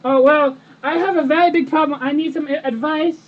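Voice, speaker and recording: A man's voice: extremely high pitch, steady tone, fairly quick. A young man voicing a whiny, dramatic, indignant wife on a prank phone call. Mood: flustered, complaining. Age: young adult. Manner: whiny, dramatic, over-the-top. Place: indoor room with friends around. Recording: muffled, noisy webcam microphone.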